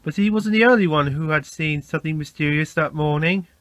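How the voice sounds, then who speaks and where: A man's voice: fairly high pitch, a little fast, fairly bright tone, no room sound. A man reading a scripted children's story as narrator.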